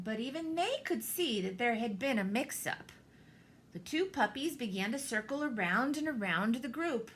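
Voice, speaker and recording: A woman reading a children's picture book aloud, expressively, with theatrical pauses and deliberate emphasis. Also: fairly high pitch, pushed and bright, slight background noise. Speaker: storybook reader, teacher.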